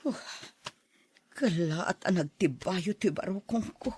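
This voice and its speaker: A husky, low-voiced older Ilocano auntie. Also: female voice, a little slow, dark tone. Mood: shocked, grieving. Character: older aunt, lonely single woman seeking old flame. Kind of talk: radio drama acting.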